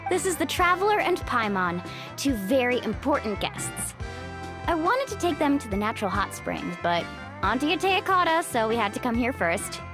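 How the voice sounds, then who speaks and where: Friendly young tribeswoman, slightly high voice, easygoing, casual, video game dialogue, music behind. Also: big pitch swings, moderate pace. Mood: cheerful. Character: breezy, friendly young tribeswoman guiding visitors.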